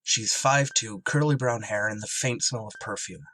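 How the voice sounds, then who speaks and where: An actor playing a gruff, tormented emergency ship pilot in a radio drama, terse and weary under strain. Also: male voice, fairly low pitch, normal speed, pushed and bright, a little hiss or hum, rough recording. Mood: brooding, anguished. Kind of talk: radio drama acting with narrated inner monologue.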